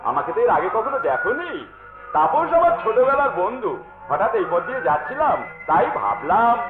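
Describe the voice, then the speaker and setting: Casting: scheming, lecherous folk-theatre villain, drawn-out leering delivery, dull recording under loud music. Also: male voice, high-pitched, big pitch swings, roomy sound. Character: lecherous, scheming villain, a man who runs a hotel. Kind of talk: Bengali jatra folk-theatre acting.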